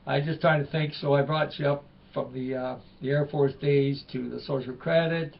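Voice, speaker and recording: Older canadian host, talks in meandering asides, muffled lo-fi audio. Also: male voice, medium pitch, steady tone, moderate pace, vocal fry. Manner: meandering, self-interrupting. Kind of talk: rambling solo commentary.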